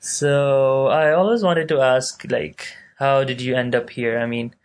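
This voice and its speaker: A man's voice: medium pitch, steady tone, unhurried. Easygoing young man, joking chat. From Pakistan. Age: young adult. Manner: easygoing, joking, lots of fillers. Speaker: podcast co-host, university student. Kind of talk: casual chat.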